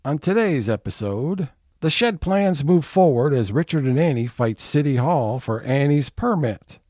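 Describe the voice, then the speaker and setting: A man's voice: a little high, animated, unhurried, darkish tone, no echo, studio quality. A man narrating a scripted comedy serial as its announcer.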